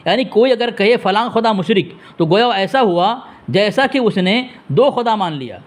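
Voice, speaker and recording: Male debater, high-pitched voice, scolding and combative, hammers points through repetition. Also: lively intonation, normal speed. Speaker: religious debater and commentator. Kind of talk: heated phone debate and polemic to listeners.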